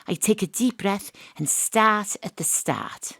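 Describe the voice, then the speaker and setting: Brisk private eye and narrator. Wry noir parody, animated intonation, dry studio sound. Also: female voice, mid-range voice, gravelly, husky, dry recording, exaggerated voice. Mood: wry narrating. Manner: deadpan noir-style narration, punchy questioning. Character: brisk, wry female private investigator narrating her case. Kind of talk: comic audio drama narration and acting.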